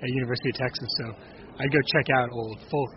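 Man, 30s, raspy speaking voice, halting and restarting, casual interview, dull lo-fi sound, steady noise behind. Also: medium pitch. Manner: halting, many restarts and fillers. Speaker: bluegrass singer-songwriter, guest. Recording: dull lo-fi audio with clear noise.

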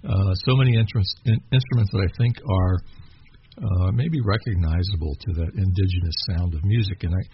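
Kentucky guy interviewing, low gravelly voice, lots of uhs, muffled noisy recording. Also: a little fast. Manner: rambling, many fillers and restarts. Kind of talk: casual interview chat. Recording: dull lo-fi with clear noise.

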